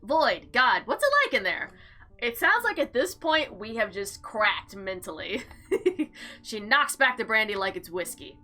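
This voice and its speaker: Woman, let's play narrator, very lively swooping pitch, bright voice, expressive reading, casual reactions, soft music behind. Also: a little slow. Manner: animated, expressive, dramatic reading. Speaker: gamer reading and reacting to a visual novel. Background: faint background music.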